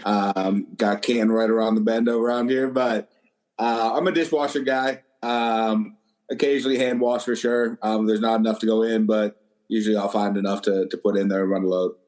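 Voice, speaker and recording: A man's voice: lowish voice, fairly quick. A man from Ohio, a podcast guest, introducing himself casually with many fillers on a video call. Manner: relaxed, filler-heavy. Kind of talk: casual chat.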